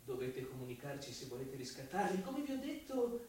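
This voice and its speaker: A man's voice: mid-range voice, big pitch swings, brisk, a little mellow, natural voice. A man playing an officious, formal police official in a radio drama, drawing out his words theatrically. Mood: officious, insinuating. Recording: echoey, distant, faint hiss.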